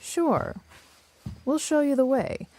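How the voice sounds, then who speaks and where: An actress playing a calm, guarded young woman survivor in an audio drama, speaking in a cool, assertive way. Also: sits high, slow, a little hiss or hum. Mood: businesslike.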